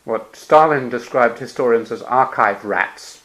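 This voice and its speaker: A man interviewing a guest, asking questions gently and filling pauses with murmured acknowledgements. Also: mid-range voice, poor audio. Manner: gentle prompting with murmured "hmm" and soft laughs.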